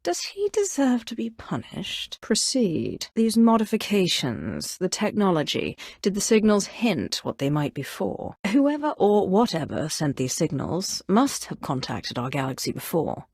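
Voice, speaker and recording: A woman's voice: mid-range voice, wildly swooping pitch, gravelly, a little mellow, breathy edge, no echo, clean studio sound, full character voice. A woman voicing a haughty, ruthless Sith sorceress lord in a video game, with imperious, coldly menacing delivery. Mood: stern, questioning. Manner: imperious, cold, menacing, deliberate diction. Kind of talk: dramatic video game voice acting.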